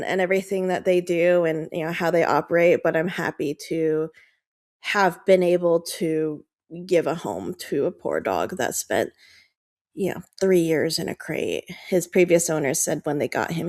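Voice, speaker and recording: A woman's voice: lowish voice, a little mellow. A woman chatting casually as a podcast guest, rambling and affectionate, with many fillers. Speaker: podcast guest, dog owner. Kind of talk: casual chat.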